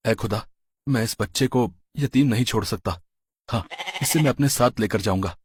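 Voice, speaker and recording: Man acting Ahmed, a husky kind farmer. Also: lowish voice, normal speed. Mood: tender. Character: Ahmed, kind-hearted, humble farmer in cartoon tale. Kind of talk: cartoon story character voice.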